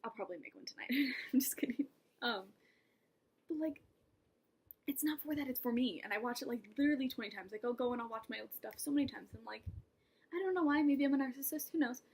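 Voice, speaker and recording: A woman's voice: high voice, fast talker, husky. A young woman talking casually to camera in a vlog, rambling and self-mocking, with laughs and whispered asides. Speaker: vlogger.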